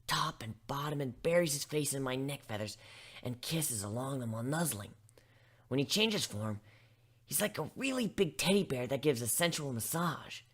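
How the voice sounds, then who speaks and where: Man voicing a husky, smitten female griffin. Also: a little high, fairly bright tone. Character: besotted female griffin telling intimate stories.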